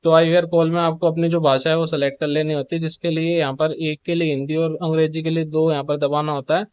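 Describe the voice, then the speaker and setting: Flat-toned man explaining updates, higher-pitched voice. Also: fast, smooth, dry recording, lo-fi sound. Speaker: video presenter giving show updates. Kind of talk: informal explainer narration.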